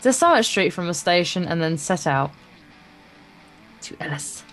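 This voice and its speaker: A woman's voice: lowish voice, animated, normal speed, a bit bright, poor audio. A woman co-hosting a let's play, reading visual novel narration aloud, stumbling over words and adding wry, casual asides. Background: acoustic guitar game music.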